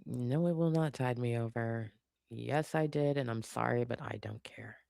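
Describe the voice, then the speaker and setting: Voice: medium pitch, normal speed, vocal fry, a little mellow, smooth, dry recording, studio quality. A livestream host chatting casually and off the cuff with viewers, opinionated and sassy, in colloquial language. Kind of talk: casual unscripted chat, reacting to comments.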